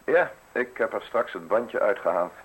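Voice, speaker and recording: An actor in a radio play voicing a modest, wordy public prosecutor who speaks with formal, excessive politeness and sly insinuation. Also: male voice, fairly low pitch, animated, moderate pace, fairly bright tone, slightly rough, poor audio. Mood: apologetic, explaining. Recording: hissy old radio play recording.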